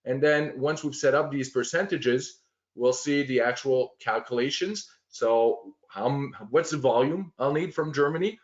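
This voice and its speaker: A man walking through planning software on a shared screen, explaining step by step with frequent fillers and restarts. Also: medium pitch, unhurried, muffled recording. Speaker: software trainer.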